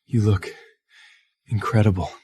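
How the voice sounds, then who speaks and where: A man plays a drugged, amorous husband in a melodrama. His voice is low, extremely breathy and slow, as if barely awake. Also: fairly even intonation, mellow. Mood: dazed, amorous. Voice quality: very breathy, husky. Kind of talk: drama acting.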